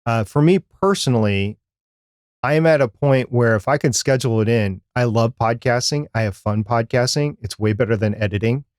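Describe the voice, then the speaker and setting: A man's voice: mid-range voice, normal speed, no room sound. Chatty man in a dry-sounding space. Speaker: podcast co-host. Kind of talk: casual chat about podcasting.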